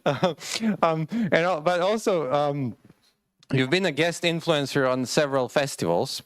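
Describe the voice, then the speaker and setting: A man's voice: on the high side, unhurried, no room sound. A young man moderating a panel discussion, asking questions with frequent hesitations and fillers.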